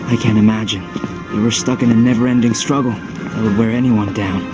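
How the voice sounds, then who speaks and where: An actor playing a sympathetic, easygoing soldier companion in a video game cutscene, with music behind him. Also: male voice, on the low side, fairly even intonation, a little fast, natural voice.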